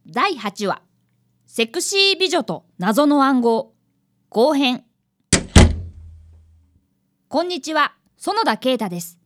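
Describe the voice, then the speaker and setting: A woman's voice: high-pitched, very animated, takes it slowly, pauses often, a bit bright, faint music behind, heavily acted. A woman voicing an eager fifth-grade boy, an apprentice detective, in a radio drama. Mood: earnest narrating. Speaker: actor and narrator in a radio drama. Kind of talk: radio drama acting and narration.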